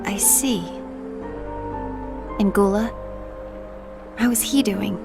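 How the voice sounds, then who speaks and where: An actress voicing a gentle, concerned young woman in a video game cutscene, with music behind. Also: mid-range voice, slow, gravelly. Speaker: game character.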